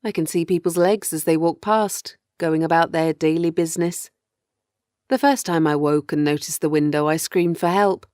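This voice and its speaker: A low-pitched woman reading as a captive woman of thirty recounts her ordeal in a horror audiobook. The delivery is measured and grim, weary and afraid. The sound is dry and close, with no room around it. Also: darkish tone, no room sound, lightly acted. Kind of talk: first-person audiobook horror narration.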